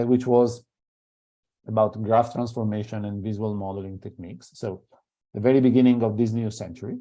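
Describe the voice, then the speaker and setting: Gravelly Italian man giving an academic talk. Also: fairly low pitch, dark tone. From Italy. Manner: hesitant, many fillers and restarts. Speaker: invited seminar speaker.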